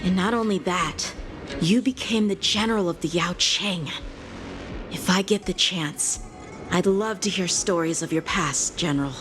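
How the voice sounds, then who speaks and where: An actress playing a respectful, thoughtful companion in a game, gentle and deliberate. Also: female voice, lowish voice, music in the background, natural voice. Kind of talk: dramatic video game voice acting.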